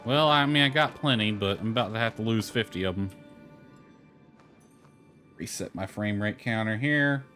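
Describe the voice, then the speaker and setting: A man's voice: on the low side, moderate pace, pauses often, bright, forceful tone. Grumbling man playing a video game. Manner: grumbling, mild swearing. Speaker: gamer streaming or recording gameplay. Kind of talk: casual gameplay commentary, muttering to self. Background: background music.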